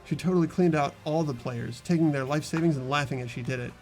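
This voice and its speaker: A man's voice: fairly high pitch, fast, darkish tone, faint music behind, lightly acted, rough recording. A man playing an ordinary, earnest and uneasy teenage schoolboy narrating a fan-voiced game. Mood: wary, musing. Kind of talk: amateur voiced visual novel narration and dialogue.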